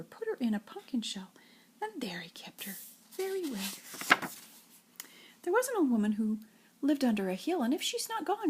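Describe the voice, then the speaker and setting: An older woman with a breathy, husky voice reads nursery rhymes like a grandmother, in an expressive singsong storytelling way. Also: sits high. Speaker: grandmother reading to children.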